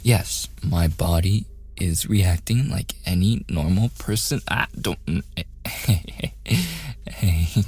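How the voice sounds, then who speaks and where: A man playing a shy, awkward young man in a boyfriend ASMR roleplay, softly stammering and often slipping into whispers. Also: low-pitched, breathy edge, exaggerated voice. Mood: flustered, smitten. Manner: hesitant, stammering, self-deprecating joking.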